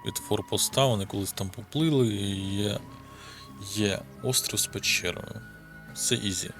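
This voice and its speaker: A man's voice: lowish voice, moderate pace. A man with a Ukrainian accent giving casual gameplay commentary, offhand and muttering to himself, swearing casually. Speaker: gaming video host. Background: game music.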